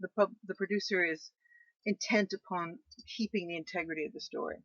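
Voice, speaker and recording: A woman guest talking about her screenplay and novel in an enthusiastic, animated way. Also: fairly low pitch, fairly quick, no echo. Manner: enthusiastic, talks with frequent restarts. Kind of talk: animated interview conversation. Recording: dull lo-fi dry sound.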